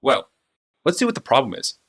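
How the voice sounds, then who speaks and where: A male narrator voicing a calm, self-assured young craftsman with clipped speech. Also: mid-range voice, a little fast, voice pushed up.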